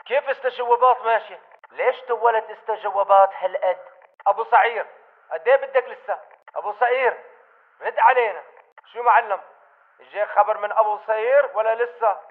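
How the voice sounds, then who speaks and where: A man's voice: sits high, fast. A man playing a wary militant with a Levantine Arabic accent, speaking through a radio filter in a video game. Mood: worried. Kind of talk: video game combat chatter. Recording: phone-like tinny radio transmission.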